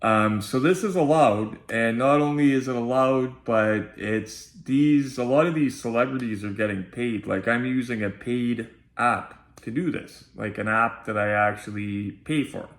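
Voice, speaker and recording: A man's voice: mid-range voice, measured pace, hardly any pauses. A man with a nasal voice, chatting informally to viewers as he hosts a casual unboxing vlog. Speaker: video host and collectibles unboxer. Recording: roomy, echoey sound.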